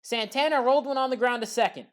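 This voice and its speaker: A woman giving a scripted play-by-play recap of baseball highlights in a measured, factual way. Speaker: highlights commentator.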